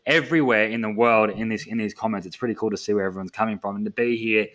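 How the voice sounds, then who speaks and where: This is a young man wrapping up a sales webinar. His voice sits on the low side, and he talks casually with lots of fillers. His energy is upbeat and full of hype. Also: steady tone, fast talker, a bit bright. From Australia. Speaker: webinar host.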